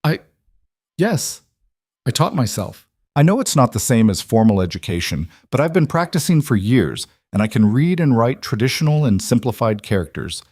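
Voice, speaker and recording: A man's voice: medium pitch, very animated, moderate pace, darkish tone, no echo, studio quality, voice pushed up. A man voicing a shy, nervous young woman who works as a hotel cleaner, talking hesitantly in a raised voice. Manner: timid, hesitant, babbling.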